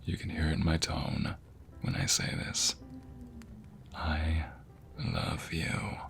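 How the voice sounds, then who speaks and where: Casting: male actor as devoted, flirty husband for ASMR romance audio; deep, crackly, whispery voice, tender and lingering delivery. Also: sing-song, a bit bright, faint music behind, heavily acted. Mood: tender, sincere. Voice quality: low, breathy, gravelly murmur, often dropping to a whisper. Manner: tender, slow, sighing delivery. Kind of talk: ASMR romantic roleplay, intimate monologue.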